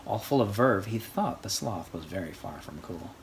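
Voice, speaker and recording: An adult storyteller reading a rhyming picture book aloud for children in an expressive, sing-song way. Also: sits low, normal speed. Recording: faint background noise.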